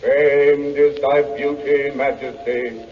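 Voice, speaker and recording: A man's voice: medium pitch, steady tone, slow pace, phone-quality sound, natural voice. A man playing a solemn spirit in a magic mirror, speaking with grave, stately 1930s radio diction. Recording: thin, noisy old radio recording.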